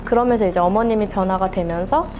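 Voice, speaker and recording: Sincere woman interviewed over clear music. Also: mid-range voice, fast talker, darkish tone. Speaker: tutor at an education company. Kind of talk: testimonial interview.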